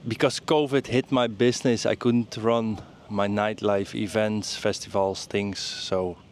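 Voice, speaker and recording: A man's voice: lowish voice, level tone, unhurried, slight background noise. A man from the Netherlands who works in e-commerce, talking in plain clipped phrases in an outdoor interview.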